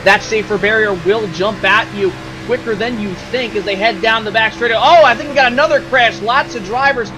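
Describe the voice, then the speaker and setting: A man's voice: sits high, a little fast, few pauses. A man giving casual color commentary on an online race, explaining strategy with lots of fillers. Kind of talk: sim racing broadcast commentary. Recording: noisy track sound behind.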